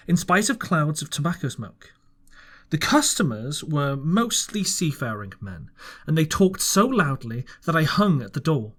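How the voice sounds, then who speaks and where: Lively man reading a storybook aloud. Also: fairly high pitch. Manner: earnest, expressive, with small restarts. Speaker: audiobook reader. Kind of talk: first-person story narration.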